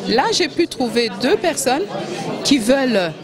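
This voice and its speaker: A woman's voice: high-pitched, lively intonation, a little slow. Woman from DR Congo, trade facilitator, hesitant, stops and restarts, busy hall chatter behind. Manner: hesitant, many fillers and restarts. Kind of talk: interview answer.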